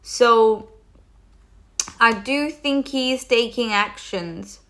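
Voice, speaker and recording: A woman's voice: on the high side, very slow, a bit bright. A woman hosting a live tarot reading, talking loosely with many fillers and restarts, a cold coming on. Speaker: tarot reader hosting a livestream.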